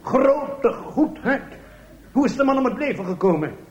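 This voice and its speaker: A bumbling, whiny police inspector acted out by a man exclaims and questions in a radio parody. The voice is high, in an echoey room with slight noise. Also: lively intonation, unhurried, dull audio. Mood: baffled. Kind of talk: comic radio play acting.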